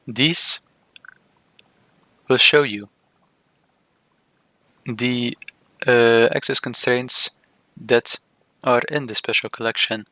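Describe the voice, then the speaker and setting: A man narrating a software tutorial step by step, speaking in short, measured, halting phrases. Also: medium pitch, no room sound. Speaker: software demonstrator. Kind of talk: scripted tutorial narration of a screen demo.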